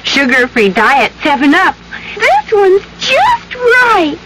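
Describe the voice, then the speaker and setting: A woman's voice: very high-pitched, wildly swooping pitch, slow, exaggerated voice. A woman playing a perky, boastful storybook girl in a vintage radio commercial. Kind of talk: 1970s radio commercial acting. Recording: noisy vintage radio broadcast.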